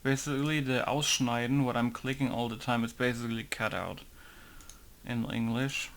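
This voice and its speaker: A man mumbling casual tutorial commentary at his computer with low-key humor. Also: fairly even intonation, normal speed, a bit bright. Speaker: game modder.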